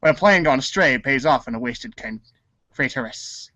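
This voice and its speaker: A man's voice: medium pitch, animated, moderate pace, sharp tone, dry recording, muffled recording. A man acting in a comic reader's theater show, goofing around and stumbling through his lines with ad-libs. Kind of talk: comic table read with ad-libs.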